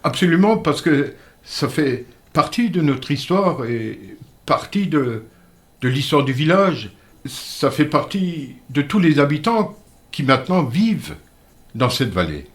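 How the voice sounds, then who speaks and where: An older man, a native dialect speaker, telling village history in a radio interview in an earnest, measured way. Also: on the high side, big pitch swings. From Moselle, France. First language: Alsatian-Lorraine Franconian dialect. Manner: earnest, measured storyteller with halting restarts. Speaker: interview guest. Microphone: studio microphone.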